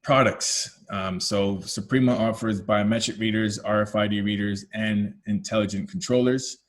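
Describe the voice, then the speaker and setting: A man's voice: fairly low pitch, steady tone, moderate pace, slight background noise. A man with a Canadian accent presenting a product webinar as a technical lead, explaining step by step with frequent fillers and self-corrections.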